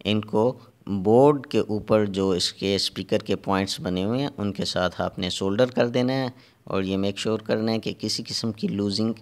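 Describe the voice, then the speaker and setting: A man narrating a hands-on repair tutorial step by step, halting and repeating himself as he works. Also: fairly low pitch. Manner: halting and repetitive, pausing while working. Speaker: repair technician giving a tutorial.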